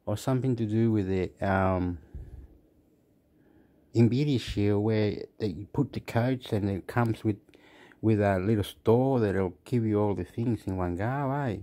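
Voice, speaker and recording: A man's voice: fairly low pitch, a little slow, mellow. A man with an Australian accent gives a rambling commentary over a phone screen recording, repeating himself and restarting his words. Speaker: vlogger.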